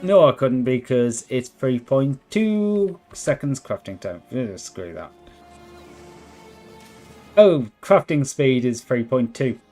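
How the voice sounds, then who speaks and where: A man streaming a game live with a worn, hoarse voice, thinking out loud and chatting with viewers. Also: medium pitch, lively intonation, slow pace. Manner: thinks aloud, chats with viewers. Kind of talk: relaxed live gameplay commentary. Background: faint background music.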